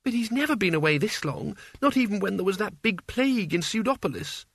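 A man putting on an anxious, higher-voiced young girl. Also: animated, a little fast, gravelly, fairly bright tone, dry recording, studio quality, heavily acted. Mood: worried, tight. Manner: urgent, tight-voiced, fretting. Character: anxious, tearful, pleading teenage girl.

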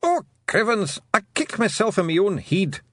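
A man voicing a tiny, brash, scrappy blue warrior with a Scottish accent for a storybook, shouting and combative. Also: sits high, sing-song, normal speed, dry recording, pitched above the performer's own voice, exaggerated voice. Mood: dismayed.

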